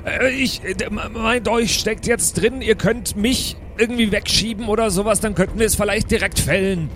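A man's voice: high-pitched, moderate pace, noisy background. A man playing a tabletop game in casual improvised chat, speaking hesitantly and often checking what he may do.

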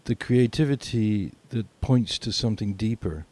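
A man's voice: on the low side, normal speed, a little mellow. A man co-hosting a podcast in reflective, casual conversation. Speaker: podcast co-host.

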